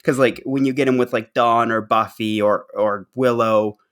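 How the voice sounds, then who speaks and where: Emphatic man with a mid-pitched voice. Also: steady tone, moderate pace, a bit bright. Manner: emphatic, mock-dramatic, acts out examples. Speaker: podcast panelist. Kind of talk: casual opinionated discussion.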